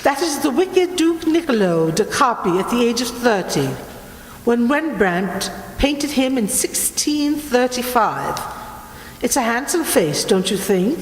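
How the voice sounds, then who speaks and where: A woman's voice: a little high, big pitch swings, a little slow. A woman playing an imperious, obsessive Italian noblewoman in a radio play, theatrical and grandly condescending as she tells ghostly legends. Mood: ominous relish. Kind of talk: dramatic radio play acting. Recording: roomy, echoing hall, faint noise.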